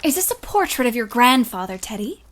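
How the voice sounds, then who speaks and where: A woman's voice: on the high side, big pitch swings, a little fast, a bit bright. A woman playing a bold, talkative, frank teenage girl in an audio play. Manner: eager, frank, chatty.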